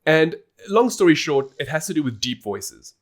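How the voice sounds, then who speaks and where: A man's voice: a little high, big pitch swings, normal speed. A man hosting a podcast, explaining things in a casual, informal and emphatic way. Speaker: podcast host.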